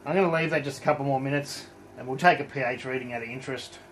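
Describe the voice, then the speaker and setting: A middle-aged man talks through a brewing demonstration as he works, relaxed and explanatory. His voice sits at an ordinary pitch, with a faint hum of room noise behind him in an indoor home brewery. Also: rapid-fire, low-quality recording. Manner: relaxed, explanatory, thinking aloud while working. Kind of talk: casual hands-on demonstration.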